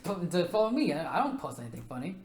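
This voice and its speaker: Young male co-host, nasal and high-voiced, stammering casual delivery, very lively pitch. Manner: halting, stammering restarts, laughs often. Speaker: podcast co-host. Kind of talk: casual chat.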